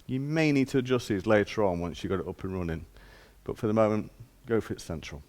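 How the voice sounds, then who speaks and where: A man's voice: fairly low pitch, expressive, fast talker, a little mellow. A man giving an informal hands-on demonstration as a shop presenter, explaining step by step in plain, casual words.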